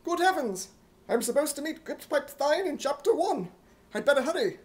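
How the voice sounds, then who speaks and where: A man voicing an excitable, gullible, indignant comic hero in a loud, exclamatory, put-on British accent, in 1950s radio comedy style. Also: very high voice, moderate pace, background noise. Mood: eager. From United States.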